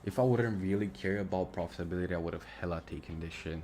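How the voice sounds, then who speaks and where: A man's voice: low-pitched, fast, darkish tone. A young man with a Romanian accent chatting casually through a live trading stream, thinking aloud and swearing freely. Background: music and mouse clicks.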